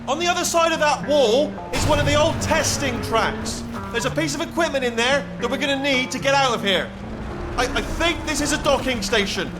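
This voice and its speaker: A man's voice: very high voice, fairly quick, music in the background. An actor voicing a nervous, bumbling male robot sphere who rambles and restarts his sentences constantly. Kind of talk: video game voice acting.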